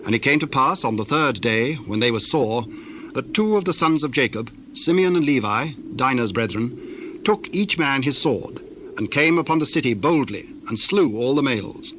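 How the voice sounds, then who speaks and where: A male narrator reads scripture formally, in a measured storytelling way. His voice sits at a middle pitch, with faint noise and ambience behind it. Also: expressive, a bit bright.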